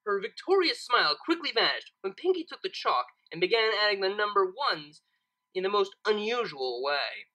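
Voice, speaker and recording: A woman reading fan fiction, with expressive narration and casual commentary in a theatrical, emphatic manner. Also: mid-range voice, normal speed, rough recording.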